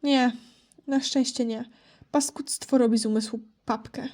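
Woman voicing a high, soft-toned snide little wolf. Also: steady tone, unhurried, lightly acted. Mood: dry, snide. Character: small young male wolf, sweet-looking but snide, guarded. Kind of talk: Polish amateur audio drama acting.

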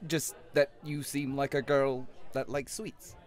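A man's voice: mid-range voice, level tone, a little slow, natural voice. An actor playing an awkward, earnest man on a first date in an audio drama, speaking hesitantly. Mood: nervous, earnest. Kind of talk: naturalistic audio drama acting.

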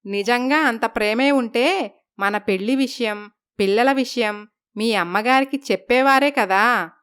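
A woman's voice: on the high side, measured pace, fairly bright tone, clear, resonant tone. A woman voicing a worried young wife who reproaches her husband in a storybook tale. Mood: reproachful. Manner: reproachful, pleading. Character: wronged young first wife, anxious.

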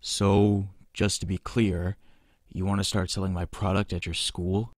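A man plays a wary drug dealer in an audio drama, with quiet menace. His voice is fairly low, in a dry recording. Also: little pitch movement, a little slow, dry recording.

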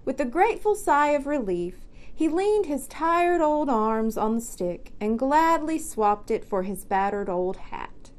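A young woman reading a children's picture book aloud with expressive, deliberate storytelling delivery. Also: high voice. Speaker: library storyteller.

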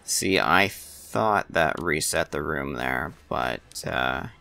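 A man's voice: rumbling low, expressive, slow pace, creaky, bright, forceful tone. A man giving casual gameplay commentary, offhand and thinking aloud. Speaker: video game player and commentator.

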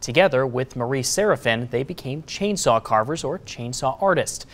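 A man's voice: medium pitch, lively intonation, moderate pace, fairly bright tone. A man in his thirties with an American accent, reading a scripted news introduction in a TV studio. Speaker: news anchor.

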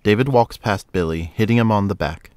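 Low-voiced male audio drama narrator. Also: a little fast, darkish tone, clear, resonant tone, dry recording.

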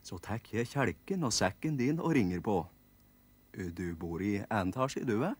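A man voicing a kindly male Christmas gnome who talks calmly and persuasively. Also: on the low side, husky. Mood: coaxing. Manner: calm, coaxing.

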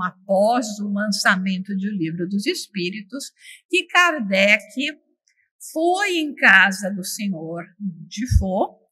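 A middle-aged woman giving a passionate, expressive lecture from a lectern in a large auditorium, often restarting her phrases. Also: medium pitch. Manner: enthusiastic, expressive, with frequent restarts. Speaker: opening speaker at a spiritist congress. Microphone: lectern microphone.

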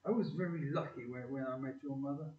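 An actor playing a tender working-class father who speaks haltingly and earnestly in an amateur audio play. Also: mid-range voice, close to an everyday voice. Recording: distant, echoey, dull hall recording.

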